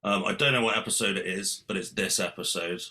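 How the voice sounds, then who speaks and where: A man hosting a casual podcast chat, with a soft, soothing voice, joking and rambling. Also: sits low, a little fast. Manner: jokey, rambling, laughs often. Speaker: podcast host.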